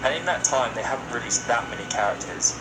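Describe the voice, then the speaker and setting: A man's voice: mid-range voice, lively intonation, fast, over loud music, rough recording. A man co-hosting a podcast, casually discussing video game news in long, opinionated explanations. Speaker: podcast co-host.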